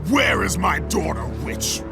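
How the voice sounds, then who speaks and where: An actor playing a fierce, vengeful Spartan warrior and grieving father in a video game, gravelly, commanding and defiant. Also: high voice, lively intonation, unhurried, full character voice. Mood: furious demands. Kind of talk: dramatic video game acting. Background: dramatic music.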